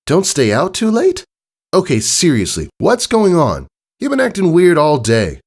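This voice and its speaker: A man's voice: on the high side, huge pitch swings, a little slow, voice pushed up, full character voice. A man voicing a stubborn, determined young tomboy filly pegasus in a storybook reading, with a defiant, insistent manner. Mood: suspicious. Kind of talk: audiobook character voice.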